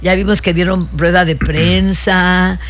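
A talk show host, effusive and enthusiastic, introducing guests and promoting local cultural events. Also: female voice, on the low side, level tone, a little slow.

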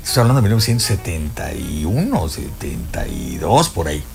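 A man's voice: a bass voice, big pitch swings, brisk, gravelly, darkish tone. An older man with a Mexican accent, chatting as a music expert on a radio show, telling stories with many fillers and restarts. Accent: Mexican Spanish. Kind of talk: casual radio chat. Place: radio studio.

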